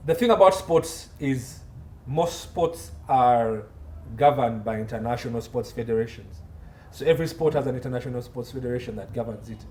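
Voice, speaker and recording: A man's voice: medium pitch, normal speed, warm tone. A man with a Ugandan accent speaking as an expert guest in an interview, emphatic and repeating phrases for stress. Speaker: guest, entertainment law consultant. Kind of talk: interview commentary. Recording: distant mic with faint background noise.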